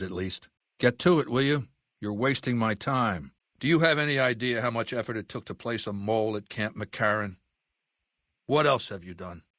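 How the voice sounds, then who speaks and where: A man's voice: mid-range voice, a bit bright, no room sound, studio quality, natural voice. An actor playing an imperious middle-aged warlord dictator in a video game, commanding, articulate and contemptuous, lecturing his listener. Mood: contemptuous, impatient. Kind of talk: video game voice acting, scripted monologue.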